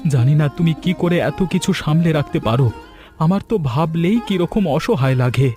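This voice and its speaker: A man acts a hardworking, poor farmer in a cartoon folk tale, proud yet downhearted. His male voice sits a little high and sounds plaintive and earnest, with music behind it. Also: animated, normal speed, mellow, natural voice. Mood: weary, hurt.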